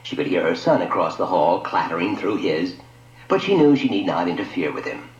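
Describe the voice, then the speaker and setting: Male story narrator, very lively intonation, echoey room, dramatic scripted radio reading. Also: low voice, a little fast. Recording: dull lo-fi audio, echoey room.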